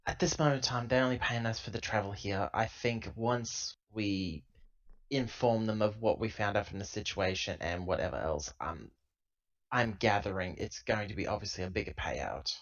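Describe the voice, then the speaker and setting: A man's voice: on the low side, normal speed, a bit bright, lightly acted. A man playing a female sci-fi crew member in a tabletop game, explaining terms hesitantly. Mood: resigned, explaining. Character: Drake, female crew member minding the contract. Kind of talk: improvised tabletop roleplay dialogue. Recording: dull lo-fi laptop recording.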